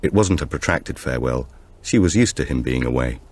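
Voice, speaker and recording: A man reading a supernatural thriller audiobook in a measured, suspenseful storytelling manner. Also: very deep voice, animated, a little mellow. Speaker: audiobook narrator. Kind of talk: scripted narration of a supernatural thriller.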